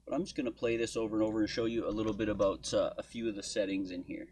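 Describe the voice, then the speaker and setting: Husky-voiced man hosting a casual tutorial. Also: on the low side, steady tone, racing, a little mellow. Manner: informal, thinking aloud. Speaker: tutorial host. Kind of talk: casual software walkthrough. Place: indoor desk at home studio.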